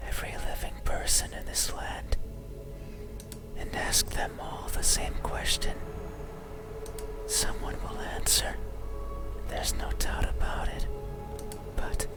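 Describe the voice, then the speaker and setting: An actor voicing an eerie, needy wandering spirit in a slow, halting, ominous whisper for a horror ASMR game. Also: loud music behind the voice, lightly acted. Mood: intense, yearning. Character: creepy cursed wandering soul, eerie, needy, self-mutilating.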